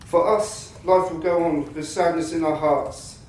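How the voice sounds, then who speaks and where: A man reading a victim statement in a measured, defiant, bitterly sarcastic manner. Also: fairly high pitch, fairly even intonation, darkish tone. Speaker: attack survivor giving a victim impact statement. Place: courtroom. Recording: very echoey courtroom from a distance, faint noise.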